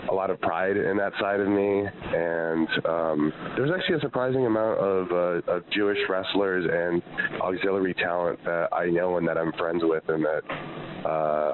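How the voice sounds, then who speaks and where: A man in his thirties with a nasal, slightly congested voice, talking in a laid-back, halting way in a casual interview. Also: deep voice. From Connecticut and Colorado, USA. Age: 30s. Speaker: wrestler interviewee. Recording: tinny phone line with crowd noise.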